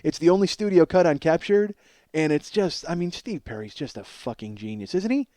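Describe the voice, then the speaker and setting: A man in his forties with a slightly hoarse voice, hosting a podcast as a casual comedic monologue, rambling, profane and self-deprecating. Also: on the high side, expressive, brisk, mellow, no room sound, studio quality. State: slightly hoarse from strained singing. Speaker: podcast host.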